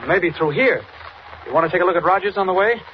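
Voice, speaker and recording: An actor playing an earnest, insistent American newspaper reporter in 1940s radio drama, speaking urgently and directly. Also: male voice, high voice, fast talker, natural voice. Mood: urgent, explaining. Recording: muffled, noisy vintage radio recording.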